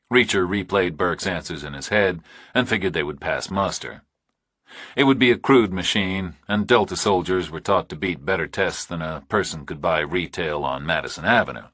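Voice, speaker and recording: A man's voice: low voice, fairly bright tone, breathy edge, no echo, clean studio sound. A man reading scripted thriller narration as an audiobook narrator.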